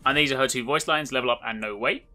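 A man's voice: mid-range voice, quick pace, bright, forceful tone, soft background music. A man giving an upbeat, casual and enthusiastic video walkthrough as a gaming host.

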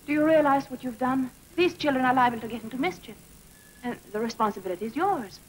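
An actress playing an earnest gentle nun. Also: female voice, high voice, fast, close to an everyday voice, low-quality recording. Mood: worried. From Sweden. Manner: earnest, polite, softly persuasive. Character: earnest gentle female nun, former tomboy. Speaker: nun, school sister. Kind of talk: 1940s film acting. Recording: old film soundtrack with faint hiss.

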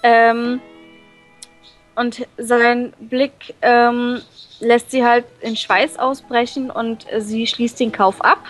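Casting: higher-pitched woman, unhurried, hesitant reader-translator, soft music behind. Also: little pitch movement. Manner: reads English text slowly, then paraphrases in German with many fillers. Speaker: reader translating a visual novel. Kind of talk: casual reading and translating with friends.

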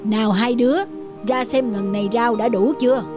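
A woman's voice: fairly high pitch, fairly even intonation, moderate pace, music in the background, close to an everyday voice. A woman voicing a caring, teasing older country mother in a cartoon family drama. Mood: fond, teasing.

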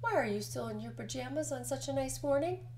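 A woman voicing an earnest, polite but easily exasperated young male rabbit in an expressive picture-book read-aloud. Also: high voice, fairly quick, slight background noise, pitched above the performer's own voice. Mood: friendly, hushed.

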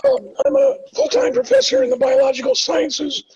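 An older man with a raspy voice introduces himself as a biology professor on a video call panel. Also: very high voice, animated, normal speed, a little mellow, a little hiss or hum. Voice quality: raspy voice from past vocal cancer. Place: home room, video call. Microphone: webcam on video call. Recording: distant muffled video-call audio.

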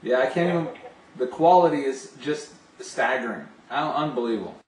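A man's voice: mid-range voice, animated, fairly quick, slight background noise. Enthusiastic man, roomy distant camera sound. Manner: enthusiastic, gushing. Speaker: video phone sales representative. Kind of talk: casual demonstration and phone chat. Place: small home office, indoors. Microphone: camera a few steps away.

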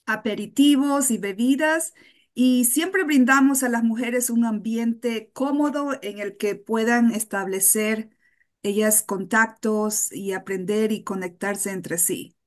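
Unhurried woman with a higher voice. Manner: unhurried, slips in English fillers. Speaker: guest, women's networking organizer. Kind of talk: describing an event in an interview. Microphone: video call.

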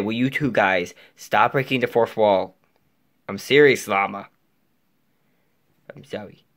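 A man's voice: fairly low pitch, gravelly, fairly bright tone. A young man in a bedroom voicing several plush toys in an improvised skit, often dropping to a whisper. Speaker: plush puppeteer voicing toy figures. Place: bedroom floor and desk, indoors.

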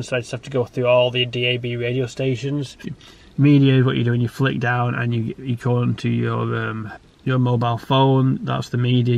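British male vlogger, 30s, nasal from a cold, monotone with some fry, chatty and meandering, recorded in a car. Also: mid-range voice. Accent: British English. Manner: rambling, with many restarts. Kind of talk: casual walkaround vlog. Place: in a parked car.

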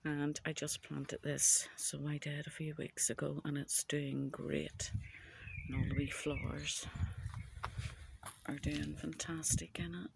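Slow, creaky-voiced Northern Irish man, very noisy. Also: fairly high pitch, little pitch movement. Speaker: home gardener giving a garden tour. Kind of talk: casual unscripted vlog narration. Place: outdoors in a home garden. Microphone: handheld phone camera. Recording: very noisy outdoor handheld phone audio.

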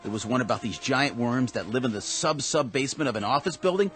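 An American actor playing an eager, clumsy, apologetic office worker in a radio drama, rambling earnestly and hesitantly. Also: male voice, medium pitch, expressive, fast, fairly bright tone, soft background music, close to an everyday voice. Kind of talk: full-cast radio drama acting.

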